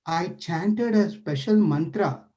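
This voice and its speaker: A man's voice: high voice, slow pace, warm tone, lo-fi sound. A man voicing a frightened, hot-tempered old sage who pleads for help, in a devotional story. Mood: fearful, pleading. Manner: pleading, fearful.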